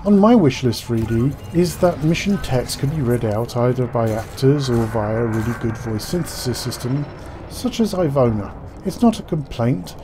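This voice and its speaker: A man's voice: mid-range voice, noisy background. A man giving scripted game news commentary as a gaming commentator, articulate and formal in his wording.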